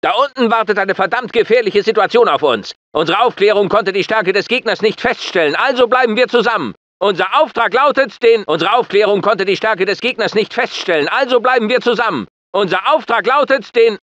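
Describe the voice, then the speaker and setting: A man's voice: high-pitched, expressive, brisk, few pauses, fairly bright tone, no room sound, highs cut off. A man playing a commanding, insulting drill sergeant with clipped delivery in a German-dubbed video game. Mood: stern, commanding. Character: hard-barking, insulting military drill sergeant, adult man. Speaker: drill instructor.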